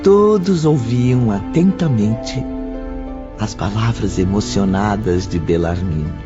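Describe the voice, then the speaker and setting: An actor playing the solemn, deliberate narrator of an audio drama about spirits. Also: male voice, on the low side, lively intonation, lo-fi sound, over music.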